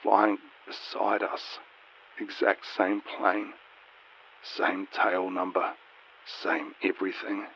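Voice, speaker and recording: Man playing a terrified hushed pilot on muffled radio. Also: low voice, flat delivery, creaky, a little hiss or hum, full character voice. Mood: hollow dread. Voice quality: hushed, shaky, near-whispering. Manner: halting, stammering. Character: terrified, stammering male airline pilot, trapped and despairing.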